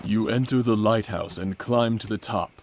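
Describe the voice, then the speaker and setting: Male narrator, dark relaxed low voice, mock-solemn, deadpan, dramatic story reading. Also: moderate pace, a little hiss or hum.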